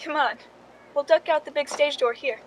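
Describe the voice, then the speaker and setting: A woman's voice: sits high, normal speed, noisy background, lightly acted. An actress playing a wry, knowing film stand-in who confides her story in a radio drama, in an understated way. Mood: cool, guarded. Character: wry, cool young woman, film stand-in and scheming narrator. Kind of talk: radio drama acting with confessional narration.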